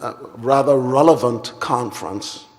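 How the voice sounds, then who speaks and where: A man from Guyana, giving a formal and deliberate plenary address at a conference. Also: mid-range voice, a little mellow. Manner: measured, deliberate and formal, with long pauses. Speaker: conference speaker. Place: large conference hall. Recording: hall reverb.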